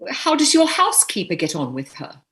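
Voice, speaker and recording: A genteel older lady of a period stage comedy, acted by a woman, shrewd and exasperated. Her mid-pitched voice swings dramatically as she delivers crisp, pointed scoldings. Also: wildly swooping pitch, fairly quick, fairly bright tone. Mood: probing, wry.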